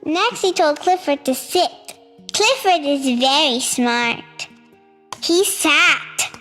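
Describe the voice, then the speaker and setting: A child host reads a storybook aloud in a very high voice. The delivery is slow and measured, as read-aloud narration for young listeners. The voice rings with long reverb, and music plays along. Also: pushed and bright. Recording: reverberant hall sound with music.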